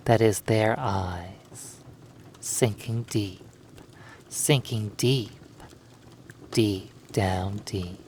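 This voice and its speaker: Very slow male hypnosis narrator. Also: on the low side, darkish tone, a little hiss or hum. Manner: deliberate, repetitive, hypnotic phrasing. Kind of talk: scripted second-person sleep hypnosis narration.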